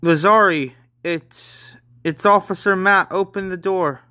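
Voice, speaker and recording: A man's voice: high-pitched, a little slow, pushed and bright, dry recording, pitched above the performer's own voice. A man voicing a fourteen-year-old boy officer in a manga story, familiar and candid. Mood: urgent.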